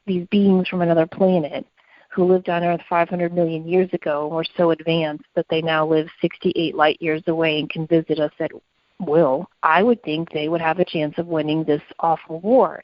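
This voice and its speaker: A woman's voice: fairly low pitch, no room sound, lo-fi sound. A woman co-hosting a podcast, talking in an earnest, reflective and opinionated conversational way. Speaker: podcast co-host.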